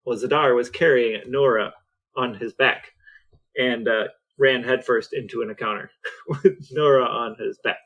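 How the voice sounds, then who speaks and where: A man chatting casually as a podcast co-host, explaining things in a halting, conversational way. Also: mid-range voice, a bit bright, rough recording.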